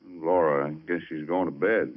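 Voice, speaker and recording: Low, blunt, suspicious bricklayer. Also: male voice, fairly even intonation, moderate pace. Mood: casual, probing. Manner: gruff, probing. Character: blunt, suspicious bricklayer, needling stepfather-in-law. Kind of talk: 1940s radio suspense acting. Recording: dull vintage radio recording.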